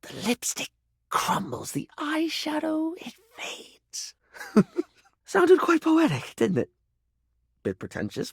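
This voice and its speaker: A man voicing a flamboyant but insecure young male makeup character in a dating video game, theatrical, stammering and self-deprecating, with a breathy, creaky voice. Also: very high-pitched, fairly bright tone, dry recording, clean studio sound, full character voice. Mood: bleak, poetic, then nervous, sheepish.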